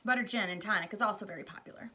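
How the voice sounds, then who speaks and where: A woman voicing an eccentric, excited man who owns a candy factory, calling out in a high, loud voice. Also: rapid-fire. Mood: gleeful.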